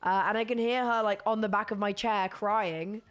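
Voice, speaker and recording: A young woman with a British accent chatting casually to her stream viewers while hoarse from losing her voice, rambling and laughing often. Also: medium pitch, steady tone, moderate pace.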